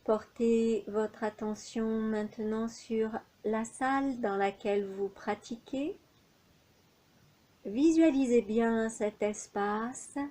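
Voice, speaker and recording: A woman with a fairly high, soft-edged and mellow voice guides a relaxation meditation. She speaks extremely slowly, in short measured instructions separated by pauses, as a yoga nidra teacher does. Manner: unhurried, measured phrases with pauses.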